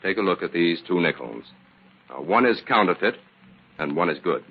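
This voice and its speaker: An actor playing a calm, shrewd scientific detective in an old-time radio drama, measured and assured as he explains. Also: deep voice, breathy edge, phone-quality sound, exaggerated voice. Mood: assured, explanatory.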